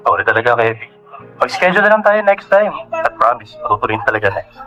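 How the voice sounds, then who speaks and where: A man's voice: medium pitch, big pitch swings, quick pace, sharp tone, soft background music, low-quality recording. A man voicing an apologetic young male nurse talking over the phone. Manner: sheepish, apologetic.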